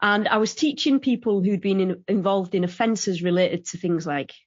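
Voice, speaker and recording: A woman's voice: mid-range voice, normal speed, no room sound, dull audio. A woman, a guest on a podcast, talking casually and telling stories in a friendly way. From United Kingdom. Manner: friendly, laughs easily, storytelling. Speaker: guest, founder and CEO. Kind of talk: casual interview chat.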